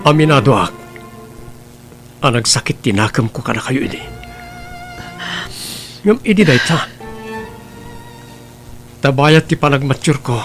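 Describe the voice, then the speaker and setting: An actor playing a grown son in an Ilocano drama, pleading slowly and emotionally with his mother in remorse. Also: mid-range voice, lightly acted. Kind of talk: dramatic first-person monologue in an Ilocano radio drama. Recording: music under the voice.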